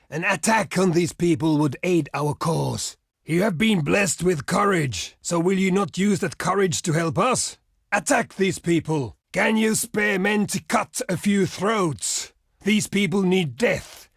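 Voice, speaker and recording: An actor playing a proud, gruff barbarian chieftain in a video game, declaiming portentously in a gravelly, husky voice. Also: male voice, on the high side, no room sound, clean studio sound, exaggerated voice. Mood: stern, solemn, then grave appeal. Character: proud, gruff barbarian chieftain envoy, earthy, superstitious, warlike. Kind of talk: theatrical video game diplomacy voice lines.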